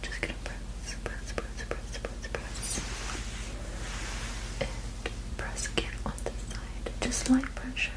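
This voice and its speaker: A woman whispering gently and reassuringly in an ASMR roleplay as a soothing witch healer, with a breathy, unvoiced voice. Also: takes it slowly, low-quality recording. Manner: gentle, soothing, reassuring, repeating soft words. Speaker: ASMR performer.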